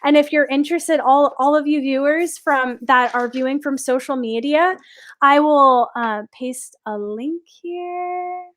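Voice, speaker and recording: A woman's voice: high voice, a little slow. A young woman teaching a live online singing class, chatting upbeat with viewers and answering their questions.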